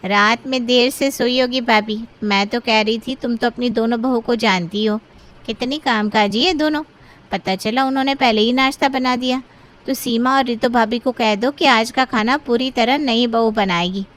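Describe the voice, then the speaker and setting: A woman's voice: a little high, moderate pace, a bit bright, natural voice, low-quality recording. A woman playing a scheming, haughty young sister-in-law in a Hindi cartoon drama, boastful and sly. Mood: sweetly sly. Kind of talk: Hindi moral-story cartoon dialogue.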